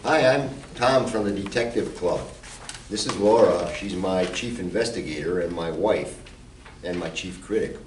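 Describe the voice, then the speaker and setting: A man's voice: deep voice, normal speed, natural voice. A man playing an amiable detective in an amateur old-time radio play. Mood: confident. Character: amiable husband-and-wife club detective, man. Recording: roomy, distant mic, faint noise.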